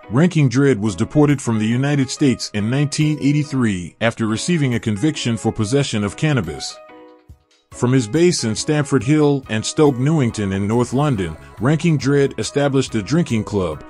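A man giving a steady, measured scripted documentary narration. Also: mid-range voice, faint music behind.

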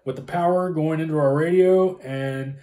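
A man's voice: fairly high pitch, steady tone, moderate pace, crowd noise. A man in his thirties chatting casually and informatively as he explains things as a co-host, recorded in a room at home. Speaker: co-host explaining ham radio. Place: small room at home, indoors. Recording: roomy, echoey home room.

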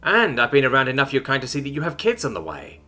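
Man voicing a brash, higher-pitched roguish spacewoman. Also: huge pitch swings, quick pace, bright, forceful tone. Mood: firm. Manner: casual, swearing, cocky. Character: blunt, brash, roguish spacefaring human woman.

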